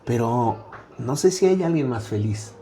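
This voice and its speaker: A man's voice: medium pitch, expressive, a little slow, mellow, slight background noise. A middle-aged Mexican man with a Mexican accent, speaking as a guest academic in a conversational interview, reflective, with frequent "eh" fillers and a habitual "¿no?".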